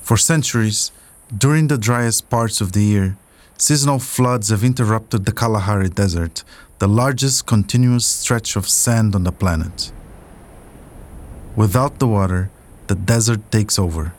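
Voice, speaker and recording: A man narrating a scripted documentary podcast, telling the story dramatically with deliberate pauses. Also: fairly low pitch.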